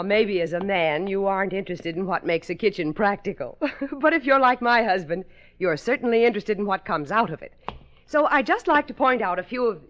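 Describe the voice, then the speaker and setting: A woman's voice: mid-range voice, natural voice. An actress playing a female real estate agent in a mid-century radio crime drama, on a muffled, crackly old recording.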